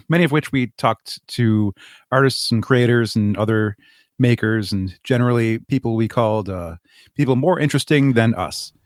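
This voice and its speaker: A man's voice: medium pitch, no echo, clean studio sound. A man speaking as an interview guest, explaining his creative project. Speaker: podcast guest, audio drama creator.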